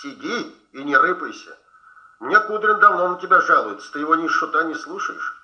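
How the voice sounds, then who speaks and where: A man's voice: fairly high pitch, lively intonation, fairly quick, lightly acted. An actor playing a hearty male friend who teases and scolds affectionately in a Soviet radio drama. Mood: firm, affectionate. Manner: teasing, admonishing. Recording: dull, echoey old radio play recording.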